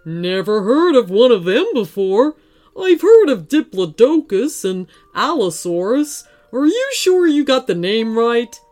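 A woman's voice: a little high, very animated, measured pace, a little mellow, faint music behind, pitched above the performer's own voice. A woman voicing a large, kindly Stegosaurus in a children's story, earnest, pondering and a little puzzled.

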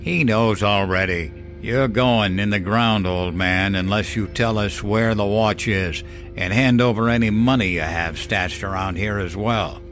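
A menacing teenage thug leading his gang , acted out by a male narrator in a horror tale. His voice sits fairly low and he gives taunting, commanding threats, with clear music underneath. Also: measured pace, a bit bright. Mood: sadistic taunting. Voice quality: deeper than his accomplices.

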